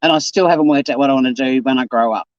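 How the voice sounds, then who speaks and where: A man's voice: mid-range voice, racing, no room sound, muffled recording. Chatty man in his fifties. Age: 50s. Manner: chatty, reads viewer comments aloud and answers them. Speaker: live stream host. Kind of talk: casual live stream chat. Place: home.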